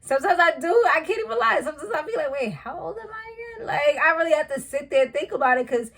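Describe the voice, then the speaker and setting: A woman's voice: sits high, expressive, quick pace, fairly bright tone. A woman in her thirties casually recapping a reality show, chatty and slangy, laughing at her own asides. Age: 30s.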